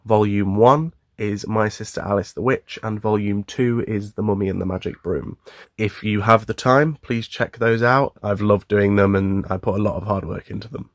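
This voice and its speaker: A man's voice: fairly low pitch, no echo, studio quality. A man who acts as an amateur, giving casual interview answers. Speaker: amateur actor, writer.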